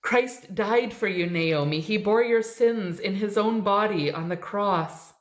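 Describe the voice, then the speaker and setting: A soft-spoken old minister, gentle and comforting in the face of despair, played by a woman reading a story. The room adds a noticeable echo. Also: medium pitch, unhurried, close to an everyday voice. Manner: gentle, soothing. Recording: echoey room.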